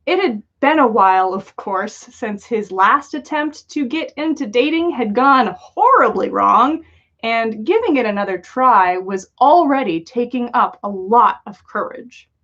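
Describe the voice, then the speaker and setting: A woman reads a story aloud in a fairly high voice that moves up and down a great deal. She leans on dramatic pauses and stretched words, and the livestream audio is slightly dull and lo-fi. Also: lively intonation, fairly bright tone. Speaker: podcast host reading listener-written fan fiction.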